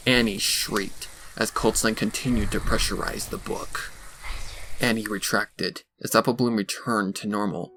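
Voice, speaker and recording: A man narrating a dark story from a script in a dramatic style. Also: fairly low pitch, fairly even intonation, unhurried, a little hiss or hum. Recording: music behind the voice.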